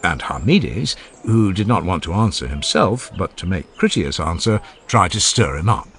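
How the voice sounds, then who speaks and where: A man reading a classical dialogue as a dramatized audiobook, in a formal, measured and expressive manner. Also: deep voice. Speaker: audiobook narrator. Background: added ambient sound effects.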